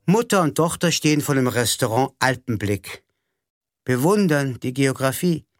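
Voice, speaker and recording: An actor playing a formal, deliberate announcer of absurd mini dramas in a radio play. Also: male voice, medium pitch, animated, no echo, faint music behind, lightly acted. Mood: solemn.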